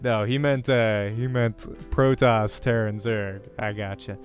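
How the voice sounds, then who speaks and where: A man's voice: on the low side, measured pace. A man hosting a let's play, reading a visual novel aloud expressively and adding casual asides. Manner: expressive, acts out the text. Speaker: let's play host and reader. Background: music.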